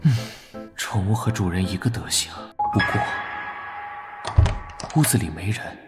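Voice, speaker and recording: A man playing a proud, sickly young knight officer in an animated drama, breathy, curt and weary. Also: sits low, unhurried, loud music behind the voice. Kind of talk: Chinese animated drama acting.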